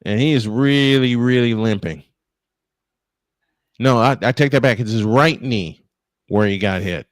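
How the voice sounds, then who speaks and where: A man's voice: mid-range voice, unhurried, pauses often, no room sound, studio quality. A man calling baseball play-by-play live, mixing it with casual chat in a folksy, exclamatory way.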